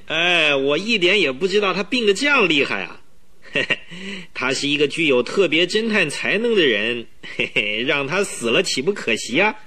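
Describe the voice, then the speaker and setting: An actor playing an arrogant, irascible and sly medical specialist in a radio drama, haughty and raspy. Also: male voice, fairly high pitch, sing-song, measured pace, pushed and bright, exaggerated voice. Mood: sly, mocking. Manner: haughty barking, gloating chuckles. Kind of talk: Mandarin radio drama acting.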